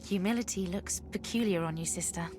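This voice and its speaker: An actress playing a pompous, solemn eldest daughter, an immortal guardian of justice in a video game. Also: female voice, lowish voice, fairly quick, a little mellow, soft background music, close to an everyday voice. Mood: stern. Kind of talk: video game character acting.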